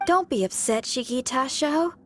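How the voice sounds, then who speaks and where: A woman's voice: high-pitched, lively intonation, moderate pace, slight background noise. A woman voicing a spirited, friendly young female companion in a video game, with a casual, encouraging way of talking. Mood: consoling. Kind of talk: scripted game story dialogue.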